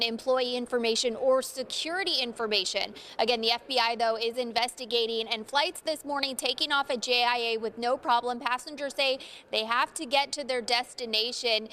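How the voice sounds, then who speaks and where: A woman's voice: a little high, bright, forceful tone. A woman giving a live TV news report on location from an airport. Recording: live location audio with background noise.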